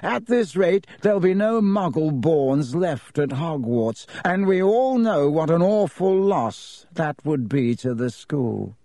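A man voicing a haughty, sneering aristocratic villain in an audiobook, with a lazy, smooth, mock-polite drawl. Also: on the high side, lively intonation, slow pace, few pauses, warm tone, dry recording, studio quality, pitched above the performer's own voice. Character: haughty aristocratic middle-aged male villain, coolly sneering.

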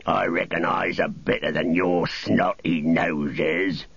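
A man's voice: low voice, fairly even intonation, takes it slowly, gravelly, fairly bright tone, slightly rough, no room sound, dull audio, full character voice. A man reading a story, voicing a big male orc soldier who bullies and threatens. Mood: scornful.

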